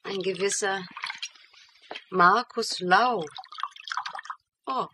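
An actress playing a witty, ironic feminist academic, wry and mock-formal, in a German radio crime play. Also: female voice, mid-range voice, drawn-out words, sharp tone, slight background noise. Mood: curious.

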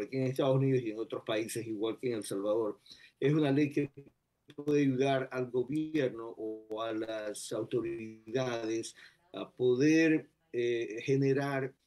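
A man in his sixties from Ecuador, a retired federal agent speaking as a guest over a video call, measured and full of pauses. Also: mid-range voice, mellow. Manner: measured, many pauses and "eh" fillers. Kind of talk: remote video interview. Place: indoors before a plain backdrop.

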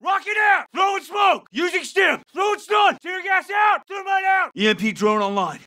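High-pitched, urgent soldier. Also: male voice, sing-song, normal speed, sharp tone, no echo, shouting, clean studio sound, some chatter behind, heavily acted. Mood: shouting, urgent. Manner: terse, clipped, urgent commands. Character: clipped, urgent male soldier in combat, military operator. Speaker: video game soldier. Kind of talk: scripted military shooter callouts.

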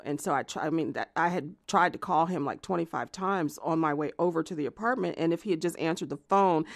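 Casting: actress for an obsessive, vengeful jilted woman, conversational monologue for audio drama, hesitant yet quick to indignation, gravelly, close dry sound. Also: deep voice, pushed and bright, no echo, exaggerated voice. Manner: hesitant, filler-strewn rambling that flares into swearing indignation. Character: jilted, obsessive woman confessing vengeful deeds, self-justifying and volatile. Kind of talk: narrated audio theatre monologue, conversational confession.

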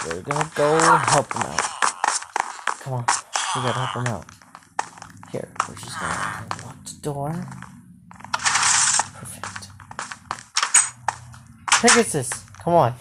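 A woman's voice: extremely low pitch, very animated, takes it slowly, long pauses. A woman improvising a game roleplay as she plays, often hesitating and repeating words. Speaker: gamer playing a Minecraft roleplay. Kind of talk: improvised roleplay gameplay commentary. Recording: noisy phone gameplay recording with clicks.

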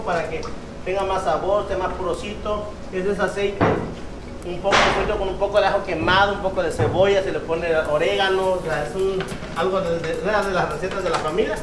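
A man's voice: high-pitched, steady tone, a little fast, very noisy. A man in his thirties with a Guerrero coast Mexican accent, casually demonstrating cooking as a restaurant cook, often tagging phrases with little questions. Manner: repetitive, punctuated with "eh?" tags and rhetorical questions. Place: open-air beach restaurant and its kitchen. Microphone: camera a few steps away. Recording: roomy, distant camera mic, music underneath.

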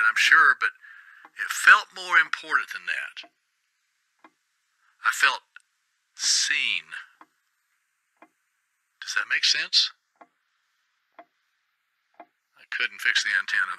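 An actor playing a harried middle-aged radio producer in a video game, exasperated and rambling. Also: male voice, medium pitch, animated, slow, pauses often, bright, forceful tone, breathy edge, no echo, exaggerated voice, rough recording. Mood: awed, hushed.

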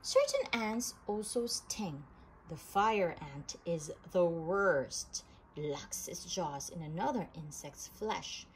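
A woman reads a children's nonfiction book aloud at a slow pace. Her medium-pitched voice rises and falls in a lively way as she sets out each short phrase deliberately. Some ambient noise sits behind her. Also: low-quality recording. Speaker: read-aloud reader of children's books.

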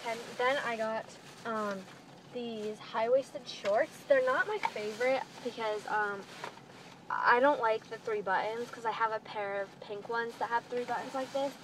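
A woman's voice: a little high, a little slow. A teenage girl chatting casually to the camera as a vlogger, recorded inside a car. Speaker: vlogger showing a clothing haul. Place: inside a parked minivan. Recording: noisy phone-style recording inside a car.

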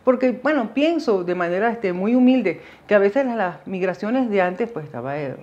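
A middle-aged Venezuelan woman hosts a casual monologue in a mid-pitched voice. She addresses viewers directly and wanders into asides as she reflects. The close indoor recording sounds a little dull and muffled. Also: animated, moderate pace, a little mellow. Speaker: video host sharing migration experience. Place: small indoor setup with dark backdrop.

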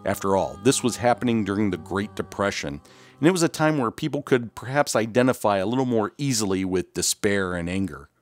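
A man's voice: on the low side, lively intonation, brisk, dry recording, soft background music. A man hosting and narrating a scripted documentary-style video.

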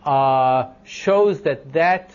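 Loud-voiced male lecturer for an informal class talk, mid pitch, muffled old recording. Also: drawn-out words. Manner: thinks aloud with many ums and restarts, asks the class questions.

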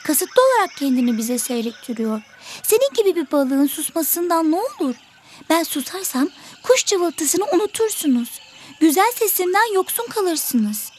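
A woman's voice: extremely high pitch, very animated, moderate pace, a little mellow, slight background noise. A woman voicing a selfish, vain, argumentative little songbird in an educational children's cartoon. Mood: scornful. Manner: boastful, dismissive, argumentative.

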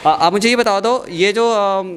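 A man's voice: high-pitched, a little slow, noisy background. A young man hosting a playful classroom quiz on a handheld mic, chatty and teasing as he questions people. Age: young adult. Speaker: quiz host. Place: large school classroom, indoors. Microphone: handheld microphone. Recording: classroom with audible crowd of students. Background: crowd of students.

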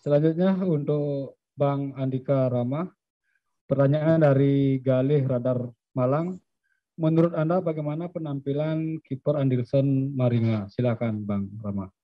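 A man moderating a press conference, briskly reading out reporters' names and their questions. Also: mid-range voice. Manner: brisk, announcing names and outlets. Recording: dry, dull lo-fi stream audio.

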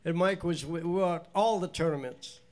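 Older male host with a head cold, high voice, rambling folksy stage patter. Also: normal speed. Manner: rambling, chatty, folksy with restarts. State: congested from a cold, stuffed-up nose. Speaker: bandleader and show host. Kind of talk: casual on-stage chat to a live audience. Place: small indoor stage studio. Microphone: stand mic on stage.

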